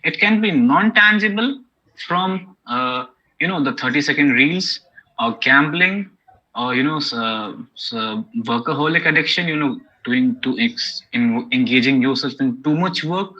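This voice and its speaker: A man's voice: medium pitch, expressive, unhurried, sharp tone. A man with an Indian English accent giving an academic lecture, explaining step by step with frequent "okay?" and "you know" checks. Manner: explanatory, many okays and you-knows. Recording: dull lo-fi recording.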